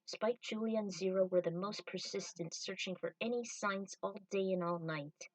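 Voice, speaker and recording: A woman's voice: medium pitch, normal speed, dry recording. Woman narrating a story, slightly distant. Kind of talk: narrating fan fiction.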